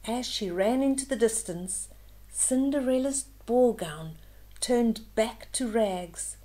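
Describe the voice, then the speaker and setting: An older woman reading a fairytale aloud to children in an expressive way, with simple explaining asides to young listeners. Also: on the high side. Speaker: children's storyteller, host.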